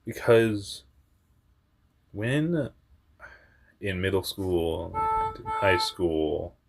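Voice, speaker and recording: A young man with a very deep voice telling a personal story in a rambling, candid way, often laughing at himself and swearing. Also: animated, very slow, creaky, slight background noise. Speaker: vlogger.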